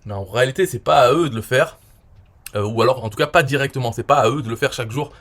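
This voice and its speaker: A man from France in his forties talking casually and at length, rambling with many fillers and often trailing off quietly. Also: medium pitch, quick pace. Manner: rambling, frequent "euh" and "voilà", trailing off quietly. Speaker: online marketer reviewing his own interview. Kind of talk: casual explanatory monologue.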